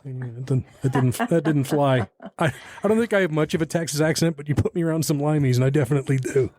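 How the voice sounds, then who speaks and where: A man's voice: on the high side, quick pace, darkish tone, dry recording. An American man hosting an informal interview podcast, talking in a folksy, blunt, slangy way.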